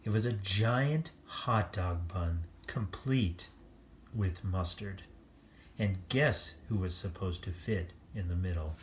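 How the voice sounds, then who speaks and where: Story-time reader, slow pace, playful, animated picture-book narration, chatty exclaiming asides. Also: sits low, a little hiss or hum.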